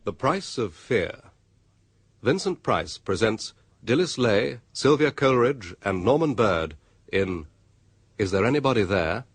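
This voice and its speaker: A man's voice: fairly low pitch, big pitch swings, a little slow, slightly rough, no echo. A man introducing a radio drama and its cast in a scripted announcement. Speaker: opening announcer.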